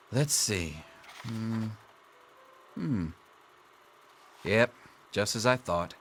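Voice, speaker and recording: A man's voice: lowish voice, a bit bright, slight background noise. A man playing a wry, jaded middle-aged schoolteacher in a video game, with a sardonic, theatrical drawl. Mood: reassuring, dry. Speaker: teacher. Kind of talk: video game dialogue acting.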